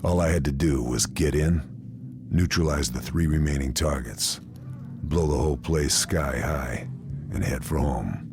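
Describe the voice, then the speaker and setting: Grim American male sniper, extremely low voice, deadpan game narration over loud ambient noise. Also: a little slow. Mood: matter-of-fact. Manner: terse, deadpan. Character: grim American OSS sniper narrating his wartime mission. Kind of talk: scripted noir-style voice-over narration.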